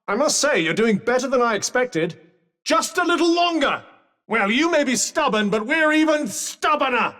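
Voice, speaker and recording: A man's voice: very high voice, animated, normal speed, few pauses, fairly bright tone, recorded from a distance. A man with a British accent voicing a talking magic cuff in a video game, a dry, eloquent companion who coaches through battle. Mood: confident, encouraging. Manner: sardonic, eloquent, urgent coaching.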